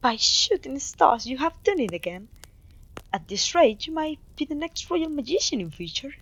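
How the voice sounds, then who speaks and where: High-voiced, kindly witch teacher, acted by a woman. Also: big pitch swings, normal speed, a bit bright, highs cut off, full character voice. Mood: delighted, encouraging. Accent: Mexican. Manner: theatrical, genteel, encouraging. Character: clumsy, kindly, cheerful young witch teacher. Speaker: voice actor. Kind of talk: ASMR roleplay acting.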